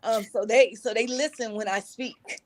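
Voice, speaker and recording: A middle-aged woman with a raspy voice, speaking earnestly and reflectively as an interview guest, with many fillers. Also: on the high side, steady tone, normal speed. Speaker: guest, executive communication advisor. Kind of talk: conversational interview.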